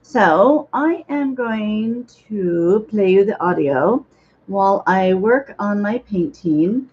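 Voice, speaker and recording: A hoarse woman chatting casually and a bit scattered as she hosts a livestream from her home painting room. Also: mid-range voice, lively intonation, takes it slowly, a little mellow. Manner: rambling, unprepared, chatty. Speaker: livestream host. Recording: dull lo-fi sound.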